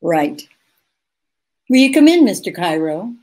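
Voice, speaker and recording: A woman's voice: on the low side, very animated, a little slow, a little mellow. A woman playing a detective's efficient, familiar secretary in a 1940s-style radio drama. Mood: brisk, knowing.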